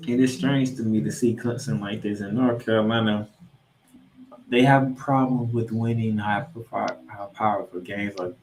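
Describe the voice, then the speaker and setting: A man's voice: on the low side, steady tone, moderate pace, darkish tone, slight background noise. An American man hosting a football podcast, giving a recap and preview with an emphatic, colloquial delivery. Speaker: sports podcast host. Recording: roomy, echoing sound.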